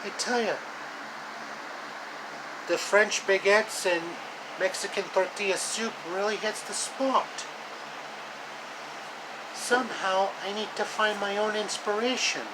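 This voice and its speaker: A cheerful little girl from a storybook, voiced by a man. The homemade recording carries a loud, steady hum and hiss. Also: high-pitched, takes it slowly, long pauses, pitched above the performer's own voice. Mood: musing.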